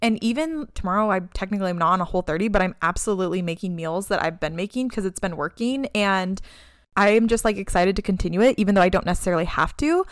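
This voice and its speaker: A woman's voice: mid-range voice, animated, quick pace, no room sound, studio quality. A woman in her mid twenties co-hosting a podcast, chatting in an upbeat way with lots of "like" fillers. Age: 20s. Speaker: podcast co-host. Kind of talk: casual chat.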